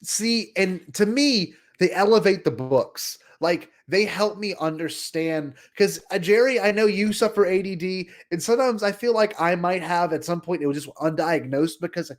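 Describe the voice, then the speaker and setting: A man's voice: high-pitched, normal speed, hardly any pauses. A man co-hosting a podcast in casual chat, talking in an effusive, emphatic way. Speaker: podcast co-host.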